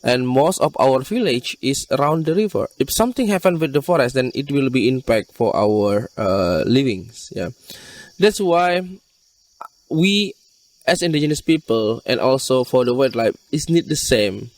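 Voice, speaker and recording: A man from Borneo with an Indonesian accent, giving earnest, halting interview answers in non-native English. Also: mid-range voice, animated, distant mic. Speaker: conservation group leader being interviewed.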